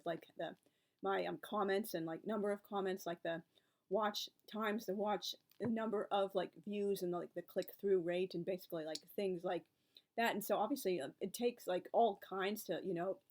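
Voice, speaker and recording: A woman's voice: mid-range voice, steady tone, a little fast, darkish tone. Rambling, chatty woman in her forties. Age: 40s. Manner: chatty and rambling, piling up asides. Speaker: book and author video creator. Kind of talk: casual reflective vlog talk.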